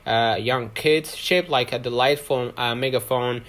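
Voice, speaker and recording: A man with a mid-pitched voice presents a product for an online video. He reads scripted promotional lines haltingly, breaking them into short phrases. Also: fairly bright tone. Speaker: product review video host.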